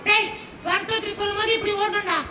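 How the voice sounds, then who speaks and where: A woman voicing a small, boastful and easily hurt child puppet in a children's show, squeaky and whining. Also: flat delivery, a little fast, a crowd in the background. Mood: boastful, eager. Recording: echoey hall, noisy background.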